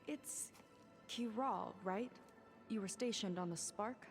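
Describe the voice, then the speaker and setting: A guarded young female marine from a video game. She talks in a wry, clipped way at a medium pitch, over clearly heard music. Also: takes it slowly. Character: guarded, skeptical young woman marine companion. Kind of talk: video game cutscene acting.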